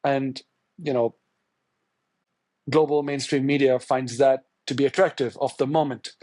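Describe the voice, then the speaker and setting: A man's voice: mid-range voice, fairly even intonation, unhurried, darkish tone, dry recording. Thoughtful male journalist, dry close sound. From India. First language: Tamil. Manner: thoughtful, searching, many restarts and fillers. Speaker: journalist guest. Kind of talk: podcast interview answer.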